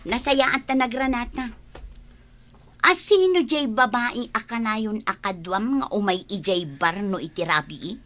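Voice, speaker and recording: A woman acts a confrontational friend in a village radio drama. She is loyal and meddling, with a raised, bright voice that has an indignant edge. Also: a little high, unhurried. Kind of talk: Ilocano radio drama acting.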